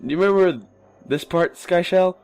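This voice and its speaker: A man's voice: high voice, normal speed, a little mellow, clean tone, soft background music. A man playing a poster piecing together eerie childhood television memories in a dramatic reading. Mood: eerie recall. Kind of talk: dramatic reading of online forum posts.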